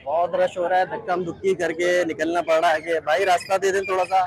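A man's voice: high-pitched, level tone, a little fast. A young man chatting casually as he films himself walking through a crowded outdoor bird market, asking sellers about prices. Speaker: vlogger. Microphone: handheld phone at arm's length.

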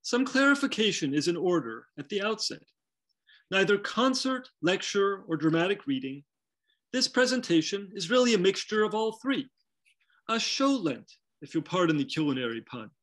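A man's voice: high voice, very animated, moderate pace, a bit bright. A middle-aged man presenting a scripted, lightly humorous lecture over a video call from a home study.

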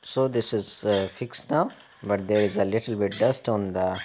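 A man giving step-by-step hands-on repair instructions in a halting, repetitive way. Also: on the low side, a little mellow, slight background noise. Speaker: repair tutorial presenter.

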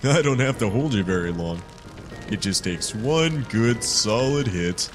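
A man's voice: on the low side, animated, measured pace. A man playing a sardonic, grimly resigned guardian in a dramatic fan dub, teasing as he goes. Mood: grimly defiant. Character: wry, taunting male guardian, grimly resigned, loves stalling. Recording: loud music behind the voice.

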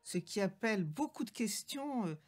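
A woman historian speaking haltingly in an unscripted interview, searching for words. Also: mid-range voice, big pitch swings, a little mellow, faint music behind. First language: French.

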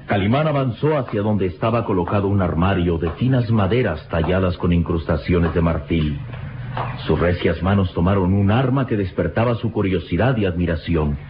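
A man's voice: deep voice, moderate pace, a little hiss or hum. A man narrating an old radio serial in a dramatic, scripted style. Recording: dull old radio recording, music behind.

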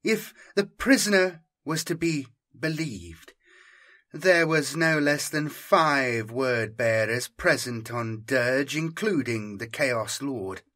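A man narrating a science fiction audiobook in a measured, deliberate, dramatic storytelling manner. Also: medium pitch, expressive, pushed and bright.

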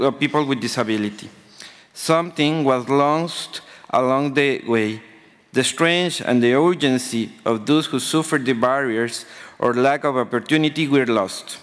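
A man's voice: mid-range voice, fairly bright tone. Ecuadorian man presenting in a reverberant hall. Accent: Ecuadorian Spanish. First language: Spanish. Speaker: conference presenter. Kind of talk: prepared speech read from notes. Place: large conference hall panel table. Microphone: table microphone. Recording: reverberant conference hall microphone.

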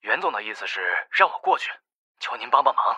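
A man's voice: mid-range voice, big pitch swings, fast, bright, forceful tone, breathy edge, no echo, muffled recording, full character voice. A man voicing a polite, ingratiating gangster asking a favour in an audiobook. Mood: deferential. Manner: courteous, ingratiating. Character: polite, deferential gang underling on phone. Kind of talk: audiobook character acting.

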